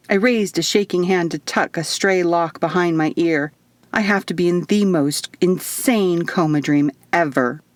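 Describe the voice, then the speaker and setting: A woman's voice: on the low side, no echo. A woman reading a first-person novel as a dramatic audiobook narration. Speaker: author reading own audiobook.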